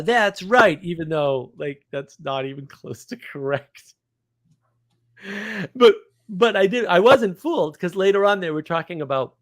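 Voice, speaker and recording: A man with a slightly high voice hosts a live stream in a casual, unscripted way. He rambles from thought to thought and states his opinions bluntly.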